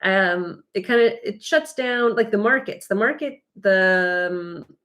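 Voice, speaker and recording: A woman's voice: mid-range voice, measured pace, fairly bright tone. An American woman co-hosting a live travel stream, chatting casually and explaining things in a rambling, chatty way. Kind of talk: casual chat, giving travel tips.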